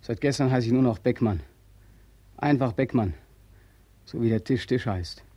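Despairing young ex-soldier, played by a man, husky mid-range voice, embittered and agitated, 1940s radio drama. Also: medium pitch, normal speed, warm tone, dry recording, muffled recording, lightly acted. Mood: bitter, despairing. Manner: agitated, repetitive, anguished.

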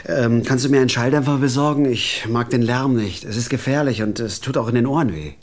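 An actor playing a quiet, deadpan hired killer who narrates his own story in a radio drama. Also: fairly quick, dry recording, natural voice. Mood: flat. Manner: deadpan, quiet, low-key. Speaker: narrator and lead.

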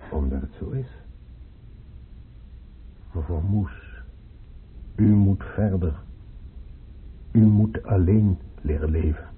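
A man acting a sober, firm doctor in a radio drama, deliberate and formal. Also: sits low. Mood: sombre. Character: sober, firm male doctor breaking bad news. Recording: hissy muffled phone-like old radio recording.

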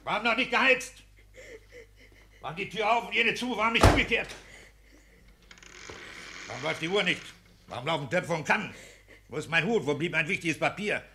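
An actor in a 1960s German radio play playing a petty, tyrannical civil servant husband, pompous, pedantic and self-pitying in a declamatory manner. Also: male voice, high-pitched, animated, fairly quick, long pauses, noisy background. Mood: indignant ranting.

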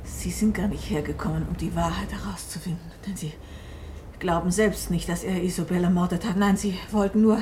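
A woman's voice: lowish voice, steady tone, a little fast, a little mellow, a little hiss or hum, lightly acted. A woman playing a shrewd, agitated woman novelist turned sleuth in a German radio drama, speaking urgently and emphatically. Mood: accusing, bitter.

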